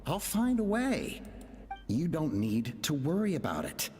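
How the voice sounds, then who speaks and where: Earnest, desperate offspring for a game drama. Slow, halting, pleading delivery in long reverb. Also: female voice, low-pitched, sing-song, mellow, slight background noise. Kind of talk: dramatic video game voice acting.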